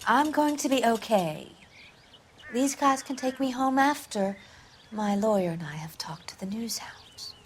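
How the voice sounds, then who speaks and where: A haughty, drugged film star. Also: female voice, a little high, expressive, measured pace, slight background noise. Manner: haughty, sarcastic, drifting into whispers. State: drowsy, drugged. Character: proud, sardonic female film star, drugged.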